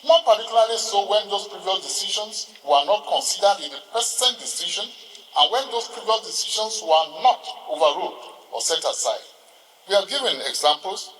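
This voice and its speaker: A man with a Nigerian accent giving a formal courtroom address as a senior lawyer, speaking in a deliberate, halting, oratorical way. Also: high voice, animated, fairly bright tone. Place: large hall, ceremonial court session. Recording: echoey hall, distant microphone.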